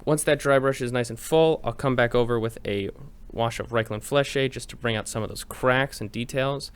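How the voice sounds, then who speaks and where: A man's voice: medium pitch, steady tone, moderate pace, clean tone. A man narrating a step-by-step tutorial in an informal, chatty, explanatory way. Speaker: host of a miniature painting tutorial.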